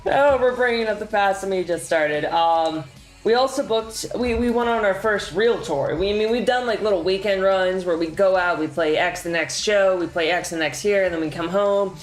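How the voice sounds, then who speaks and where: A young man in a band giving a casual year-end update, rambling and excited, full of fillers and slang. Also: sits high, normal speed. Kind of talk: casual chat. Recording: echoey room with faint music.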